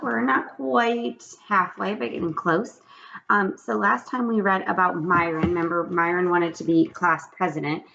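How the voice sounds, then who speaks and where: A woman reading a storybook aloud to children as a teacher, with expressive, simple phrasing. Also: fairly low pitch, vocal fry, muffled recording, slight background noise.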